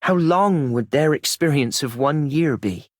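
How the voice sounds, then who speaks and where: An actor playing a reflective, mild man in a video game, musing gently. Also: on the high side, animated, unhurried, no echo, studio quality. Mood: pensive.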